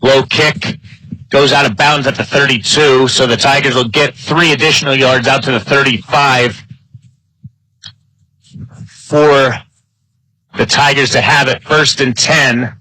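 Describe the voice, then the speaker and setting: Bright-voiced man calling football play-by-play. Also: mid-range voice, a little slow, long pauses, no echo, rough recording. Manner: clipped phrases, building excitement on big plays. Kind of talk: live sports play-by-play commentary.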